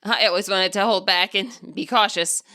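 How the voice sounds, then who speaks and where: A woman's voice: mid-range voice, steady tone, normal speed, sharp tone, close to an everyday voice. A woman voicing a nervous, cautious middle-aged male spirit who speaks hesitantly and apologetically in a story reading. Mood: gentle, reflective.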